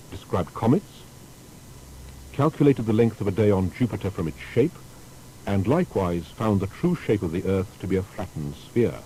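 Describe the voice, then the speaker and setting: A man narrating a scripted historical documentary in a formal storytelling manner with deliberate emphasis. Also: mellow, rough recording. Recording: faint background noise with rumble.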